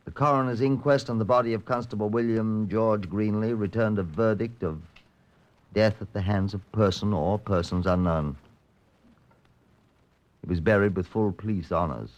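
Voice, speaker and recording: An actor playing a sober, curt Scotland Yard chief inspector, in old-time radio police drama style. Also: male voice, low voice, muffled recording, close to an everyday voice. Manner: clipped, matter-of-fact.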